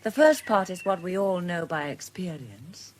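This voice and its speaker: An actress playing a genteel, wistful British lady in a radio drama, expressive and relishing her words. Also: fairly low pitch, moderate pace, a little hiss or hum, close to an everyday voice. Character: genteel, wistful, affectionate English lady visitor. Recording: outdoor birdsong ambience behind. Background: birdsong and outdoor ambience.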